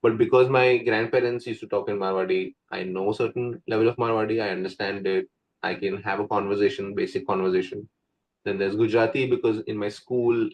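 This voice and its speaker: A man's voice: lowish voice, a little mellow, poor audio. A young man from India with an Indian accent, a Hindi native speaker, talking casually as an English coach in an interview, full of "like" and "right?" fillers. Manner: chatty, frequent "like" and "right?" fillers.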